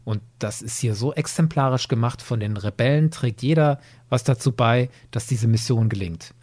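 A man's voice: mid-range voice, normal speed, darkish tone, dry recording. A man hosting a podcast, giving his opinions analytically in a conversational review discussion. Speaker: podcast host.

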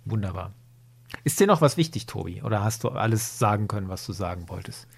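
Male podcast host, low and husky, casual enthusiastic discussion. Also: expressive, a little fast.

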